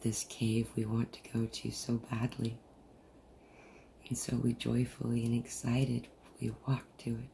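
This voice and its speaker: Softly dark, gravelly guided meditation voice. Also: medium pitch, monotone. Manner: soothing, deliberate, with long pauses. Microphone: video call. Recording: noisy, muffled group video-call audio.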